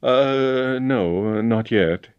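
A man's voice: lowish voice, big pitch swings, moderate pace, darkish tone, no echo, muffled recording. A man voicing a timid, flustered young man who talks in a hesitant, faltering way, in a storybook reading. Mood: nervous, faltering. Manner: hesitant, faltering, overeager agreement.

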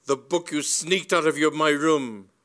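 A man's voice: medium pitch, expressive, normal speed, lightly acted. Storybook matron, played by a male reader: formidable, imperious, cold and commanding, declaiming her indignation. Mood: outraged. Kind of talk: comic storybook reading.

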